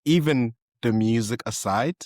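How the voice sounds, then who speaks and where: A man from Zimbabwe co-hosting a podcast, earnest and halting, often repeating his words. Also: mid-range voice, a little mellow, no room sound, clean studio sound. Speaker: podcast co-host. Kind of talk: podcast interview, casual chat.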